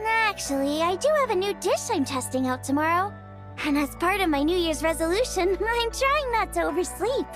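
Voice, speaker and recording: Game casting: tiny childlike fairy sidekick, squeaky, piercingly high, animated and chatty, music behind. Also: female voice, normal speed. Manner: exaggerated, refers to herself in third person. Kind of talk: scripted video game voice acting.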